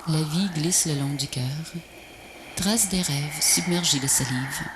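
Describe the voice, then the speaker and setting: A woman recites poetry as a voice-over in a solemn, measured, breathy and often half-whispered delivery. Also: deep voice. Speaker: poet narrator of a video poem. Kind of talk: poetic recitation, scripted voice-over. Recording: noisy VHS transfer, ambient noise.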